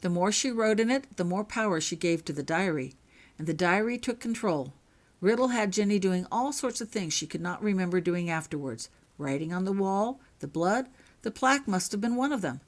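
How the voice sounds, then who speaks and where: A woman's voice: medium pitch, expressive, normal speed, close to an everyday voice. A woman acts out a young male wizard in a storybook reading. He is earnest and determined, speaking bluntly and with some urgency. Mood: urgent.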